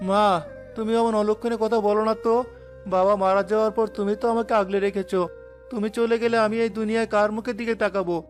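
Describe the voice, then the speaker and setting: A man's voice: high voice, level tone, quick pace, faint music behind, lightly acted. A man voicing a poor, devoted and determined young village son in a cartoon drama, earnest and pleading.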